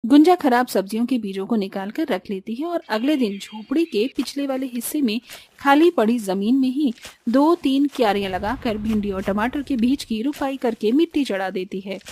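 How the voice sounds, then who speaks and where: Bright-voiced woman narrating, fairly high. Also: moderate pace, rough recording. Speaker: story narrator. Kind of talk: scripted Hindi cartoon story narration.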